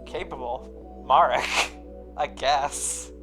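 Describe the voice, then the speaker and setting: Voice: mid-range voice, fairly even intonation, drawn-out words, over music. A person reading a visual novel aloud with friends, moving between narration and casual banter.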